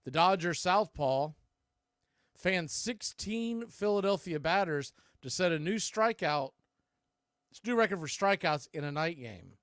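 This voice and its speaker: American guy doing baseball play by play, kinda high voice, folksy chatty asides, real dry sound. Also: lively intonation, unhurried, fairly bright tone, no room sound. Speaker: baseball play-by-play announcer and host. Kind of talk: live sports commentary with casual asides.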